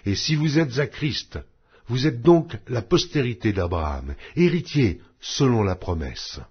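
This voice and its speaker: Slow, husky male scripture reader. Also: medium pitch, sing-song. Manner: solemn, measured delivery with emphatic rises. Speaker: Bible reader. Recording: dull lo-fi recording.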